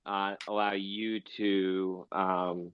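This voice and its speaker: A man with a lowish voice asks casual interview questions, feeling his way through them haltingly. The audio is dry and a little dull. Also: level tone, clear, resonant tone, no room sound. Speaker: interviewer, co-host. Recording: slightly dull online audio chat.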